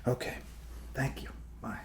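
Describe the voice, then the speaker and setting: A man's voice: measured pace, slight background noise, natural voice. A man playing a friendly doctor in an ASMR roleplay, reassuring his patient in hesitant, rambling talk with joking asides. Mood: businesslike. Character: friendly rambling male doctor treating a zombie bite. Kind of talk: ASMR roleplay, improvised soft bedside talk.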